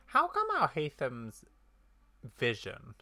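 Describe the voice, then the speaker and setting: Bright-voiced gamer reacting aloud. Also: medium pitch, huge pitch swings, takes it slowly. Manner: reacts aloud to the game, muses to self. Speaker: gaming streamer. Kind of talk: casual let's play commentary, reading game text aloud.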